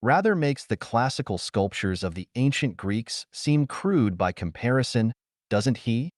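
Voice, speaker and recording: A man's voice: medium pitch, sing-song, unhurried, dry recording. Wry, jealous young pulp hero read by a male narrator, sarcastic grumbles with swinging intonation, dry sound. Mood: uncomfortable.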